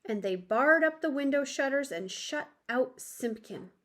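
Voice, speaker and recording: A woman reads aloud to children as a storyteller. Her voice sits fairly high and she takes her time, very slowly and expressively. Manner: expressive, addresses young listeners.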